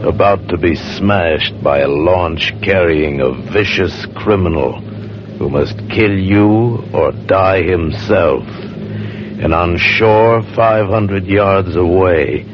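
A grave, menacing narrator from a vintage radio thriller. The voice is low and deliberate, on a muffled old recording with prominent music. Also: male voice. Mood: ominous, menacing. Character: ominous second-person narrator of radio thriller trailer. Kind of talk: 1940s radio acting.

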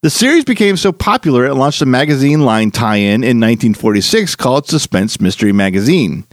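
A man's voice: medium pitch, big pitch swings, a little fast, no echo. Male podcast host, close dry sound. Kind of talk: scripted introduction narration. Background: music.

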